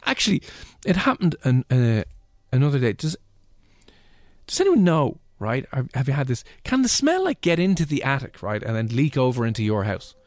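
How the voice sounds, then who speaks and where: A man's voice: mid-range voice, huge pitch swings, moderate pace, a little mellow, no room sound. An Irish man co-hosting a radio show, telling rambling, chatty stories in casual studio talk. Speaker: radio co-host.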